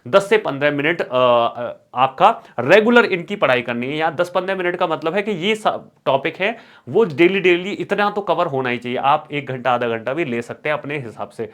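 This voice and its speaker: A man's voice: on the high side, lively intonation, normal speed, fairly bright tone. A man teaching as an exam coach, instructional, repeating key words for emphasis and mixing in English terms. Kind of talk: exam strategy lesson with course promotion.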